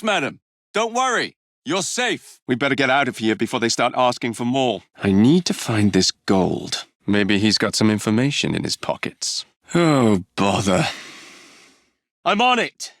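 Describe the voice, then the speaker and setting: An actor playing a cocky, roguish young Victorian gang leader in a video game, with an English accent and a cheeky, theatrical, teasing way of speaking. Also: male voice, mid-range voice, vocal fry, a bit bright, no echo, studio quality. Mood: bright, eager, then brisk, confident. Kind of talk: dramatic video game dialogue lines.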